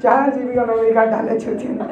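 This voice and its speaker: A man's voice: extremely high pitch, little pitch movement, fast talker, narrow, tinny audio. A young male stand-up comedian telling a crude, casual story and checking in with the crowd. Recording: echoey club room, loud crowd laughter. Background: audience laughing.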